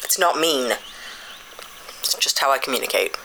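A woman's voice: extremely low pitch, expressive, moderate pace, vocal fry, noisy background, heavily acted. A woman playing a blunt, sarcastic space adventurer who trades insults in an audio drama. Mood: defensive. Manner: curt and sarcastic, snaps insults.

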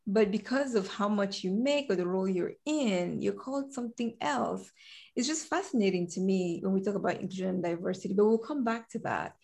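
A woman in her forties from Cameroon, a guest in an interview, telling her story in an animated way and laughing easily. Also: mid-range voice, gravelly. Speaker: guest, compliance director. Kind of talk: conversational interview.

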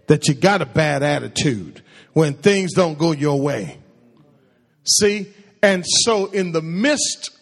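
Husky-voiced preacher, slow and animated. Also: male voice. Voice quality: calls his own voice a baritone. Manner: preachy, asks the congregation questions and answers them. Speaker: pastor. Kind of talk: call-and-response sermon. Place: church sanctuary. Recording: long hall reverb.

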